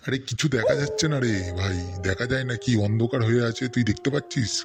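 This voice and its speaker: A man voicing a gruff, impatient, scornful young sidekick in a comedy cartoon. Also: medium pitch, quick pace, music in the background, natural voice.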